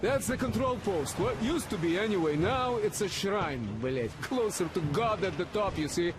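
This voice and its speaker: An actor playing a rough, impatient boat skipper who curses and plans the approach. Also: male voice, sits high, expressive, moderate pace. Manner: brusque, impatient, curses under his breath. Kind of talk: video game cutscene acting. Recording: game mix with engine noise.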